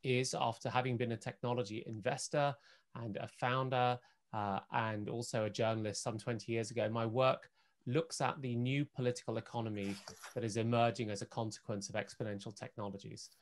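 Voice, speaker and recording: A man in his forties speaking as a panelist on a video call, measured and articulate with frequent fillers. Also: mid-range voice, fairly even intonation. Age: 40s. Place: indoor home room. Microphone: video call webcam.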